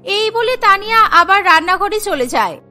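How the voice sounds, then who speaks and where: A woman's voice: very high voice, expressive, moderate pace, pushed and bright. A woman narrating a scripted cartoon story. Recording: music behind the voice.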